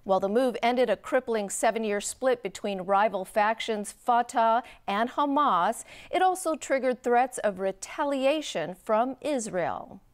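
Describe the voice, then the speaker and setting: A woman's voice: on the high side, lively intonation, measured pace, few pauses. A woman reading scripted television news as the anchor.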